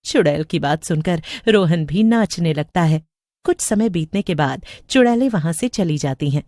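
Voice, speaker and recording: A woman's voice: on the low side, expressive, moderate pace, mellow, no room sound, clean studio sound. A woman narrating a scripted story as a storyteller.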